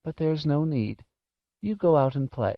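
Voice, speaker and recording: A man voicing a kindly, soft-spoken old woman housekeeper with a sorrowful, fussing manner in an audiobook. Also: fairly high pitch, sing-song, measured pace, no room sound, muffled recording, pitched above the performer's own voice. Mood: tender. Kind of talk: audiobook character voice.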